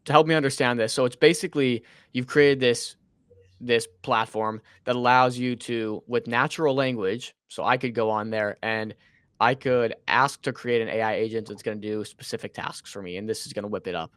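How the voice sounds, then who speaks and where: A man's voice: mid-range voice, moderate pace, fairly bright tone, smooth, dry recording. A man hosting an interview, easygoing and asking follow-up questions. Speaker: show host. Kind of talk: casual interview.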